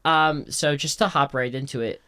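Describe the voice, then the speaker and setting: A man's voice: fairly high pitch, steady tone, normal speed, bright, forceful tone, smooth. A man hosting a podcast, reading a friendly intro and then asking casual questions. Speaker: podcast host.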